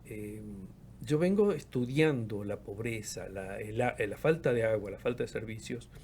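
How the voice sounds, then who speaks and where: A man's voice: on the low side, animated, measured pace, a little mellow, slight background noise. A man in his fifties from Argentina's Patagonia, a researcher and professor, speaking reflectively as an interview guest and often repeating words as he searches for them. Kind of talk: casual interview conversation.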